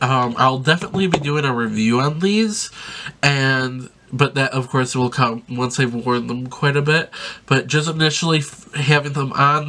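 A man's voice: mid-range voice, pushed and bright. A young man in his twenties, congested from a sinus infection, chatting enthusiastically and rambling with frequent ums as he unboxes a gift in a small bedroom, filmed close to the camera. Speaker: vlogger. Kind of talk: casual unboxing vlog.

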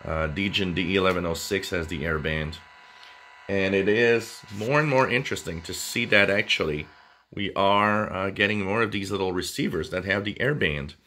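A man presenting radio gear in a casual, unscripted way, with halting, hesitant phrasing. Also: low voice, sharp tone, a little hiss or hum. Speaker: amateur radio video presenter. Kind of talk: casual product talk, unscripted.

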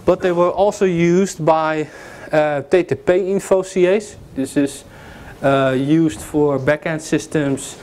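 A man's voice: fairly high pitch, steady tone, slow pace, few pauses, a little mellow. A man in his thirties with a Dutch accent, giving a loose, joking technical lecture in a classroom, walking and pointing at the slides, picked up by a distant camera. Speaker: guest lecturer. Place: classroom with projector. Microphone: far camera, no visible mic.

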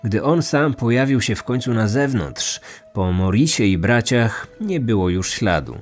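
A man hosts a true crime podcast with scripted documentary narration. His voice sits at an average pitch, with faint music playing behind it. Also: lively intonation, a little slow.

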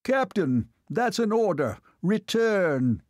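A man's voice: mid-range voice, lively intonation, moderate pace, warm tone, dry recording, studio quality, pitched above the performer's own voice, natural voice. A man voicing an arrogant, hot-tempered young aristocratic officer in a story, clipped and snapping. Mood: enraged, shouting.

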